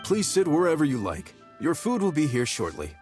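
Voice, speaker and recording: A proud, welcoming restaurant chef in a game. His voice sits a little high and moves lively up and down as he talks up his cooking. Soft game music plays behind. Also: brisk. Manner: hospitable, proud, chuckling.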